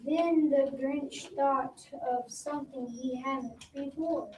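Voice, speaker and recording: Young child reader, unhurried pace, storybook narration, far from the mic with faint noise. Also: high voice, steady tone, a little mellow. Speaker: readers theater performer. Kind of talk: storybook read-aloud. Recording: echoey room, distant mic, faint noise.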